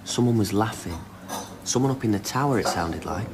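A man's voice: on the low side, animated, fairly quick, close to an everyday voice. Uneasy male sound recordist, an actor, noisy surroundings. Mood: subdued, unsettled. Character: uneasy, subdued sound recordist, easily unsettled. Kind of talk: naturalistic radio drama acting. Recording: noisy location with clinks, clatter.

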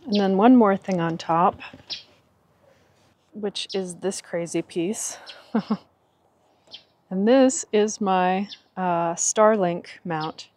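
A woman's voice: mid-range voice, extremely slow, pauses often, darkish tone, slight background noise. A woman in her forties casually narrating a do-it-yourself vehicle project, chatty and laughing often, recorded in and around an SUV. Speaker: vlogger. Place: inside an SUV and a carport.

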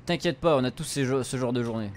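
A man's voice: mid-range voice, quick pace, a little hiss or hum. A young man doing a casual let's play, translating and reading game dialogue aloud in an informal, slangy way. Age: young adult. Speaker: streamer. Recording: music playing behind the voice. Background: video game music.

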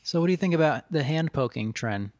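A man hosting a podcast, asking short questions and offering quick acknowledgments. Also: on the high side, lively intonation, darkish tone. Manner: brief, encouraging. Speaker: podcast host. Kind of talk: interviewing, brief questions and backchannels.

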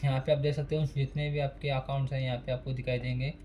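A man's voice: medium pitch, flat delivery, quick pace, mellow, slight background noise, poor audio. A man giving a casual step-by-step phone repair tutorial, speaking plainly and repeating each step while working at a desk. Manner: plain, repetitive, instructional.

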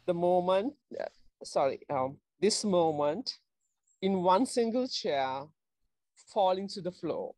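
A middle-aged person reading short verses in an unhurried way through an earphone mic on a video call. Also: mid-range voice, lively intonation, darkish tone, no room sound. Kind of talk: unhurried poetry recital. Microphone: wired earphones on a video call.

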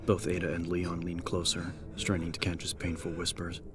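A man narrating an audiobook in a measured, solemn, scripted dramatic style. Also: low voice, completely flat delivery.

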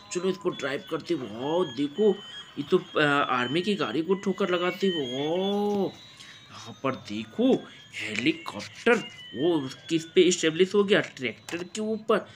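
A man's voice: a little high, lively intonation, unhurried, background noise. An Indian man improvising play-by-play narration of toy play for children in an exclamatory way, with frequent wows and interjections. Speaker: presenter of toy play videos.

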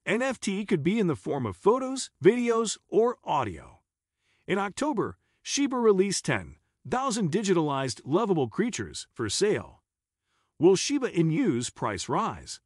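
A man with a fairly high voice narrates a scripted explainer. His pitch swings up and down in a very animated way. The tone is husky, and the recording is close and dry. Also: a little slow, no echo.

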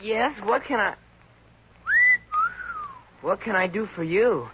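Teenage schoolboy, high-pitched, youthful, eager, cheeky, courteous, old radio comedy, muffled recording. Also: male voice, lively intonation, takes it slowly. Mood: cheeky, earnest. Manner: youthful, polite but cheeky. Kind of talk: 1950s radio comedy acting. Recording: dull, noisy 1950 radio recording.

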